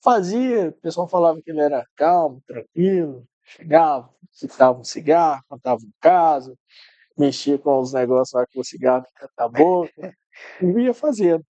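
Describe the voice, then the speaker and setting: A middle-aged man tells family stories in a relaxed, rambling way. His voice is mellow and a little high, and he often repeats words as he restarts. The sound is dry and close. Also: moderate pace, no echo, rough recording. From Minas Gerais, Brazil. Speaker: podcast guest. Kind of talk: casual interview chat.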